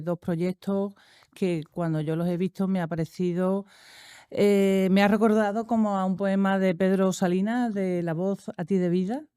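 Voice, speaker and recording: Woman, panel moderator, slow and halting, mellow smooth voice, dry sound. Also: mid-range voice, dry recording. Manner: hesitant, many fillers and restarts. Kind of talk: conference panel introduction.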